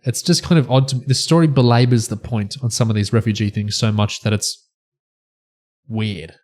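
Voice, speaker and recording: A man's voice: fairly low pitch, fairly even intonation, normal speed, darkish tone. A man speaking as a podcast panelist in a casual, analytical discussion.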